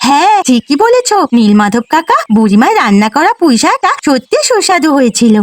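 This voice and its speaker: A woman's voice: high voice, big pitch swings, a little fast. A woman voicing a friendly, curious young villager in a cartoon, sweet and inquisitive. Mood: cheerful, curious. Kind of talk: Bengali cartoon dialogue.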